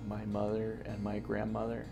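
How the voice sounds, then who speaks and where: Flat-voiced young man with a gravelly edge. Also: on the low side, moderate pace, music in the background. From Connecticut, US. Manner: earnest, with many restarts and "uh" fillers. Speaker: interview guest, environmental justice organizer. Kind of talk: sit-down interview. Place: office gallery room.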